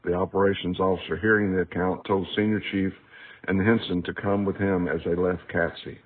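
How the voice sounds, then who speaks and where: A man's voice: sits low, level tone, brisk. A man reading aloud from his own book in a scripted audiobook narration style, recorded with a tinny, narrow sound. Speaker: author reading a book excerpt. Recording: tinny, phone-like narrow band.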